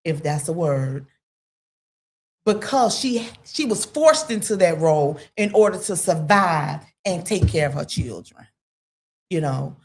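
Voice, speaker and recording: A woman in a casual talk-radio discussion, rambling and emphatic, full of "you know" and sudden jumps in pitch. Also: expressive, a little slow.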